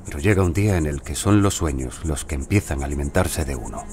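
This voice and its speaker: An actor playing an older, authoritative lord and patron in an audio drama, grave, formal and resigned. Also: male voice, a bass voice, fast talker, music in the background.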